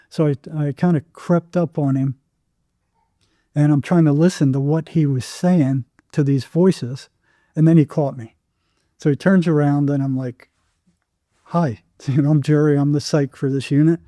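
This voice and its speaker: A man's voice: on the high side, dark tone, no room sound, clean studio sound. An older American man telling anecdotes casually as an interview guest, acting out bits of dialogue as he goes.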